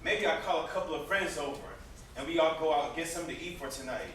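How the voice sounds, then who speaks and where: A sarcastic, protective older brother in an amateur stage play, acted by a man. His voice is bright and slightly high, with a theatrical, prodding edge. It reaches the microphone from a distance in a very echoey room with some noise. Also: fast, lightly acted. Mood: casual, coaxing. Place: indoor stage, recorded from afar.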